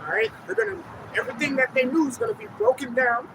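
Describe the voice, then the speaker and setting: Street preacher guy, high voice that jumps around a lot, traffic noise behind. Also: lively intonation, quick pace. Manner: emphatic, calls on reader to go ahead. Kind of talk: street sermon.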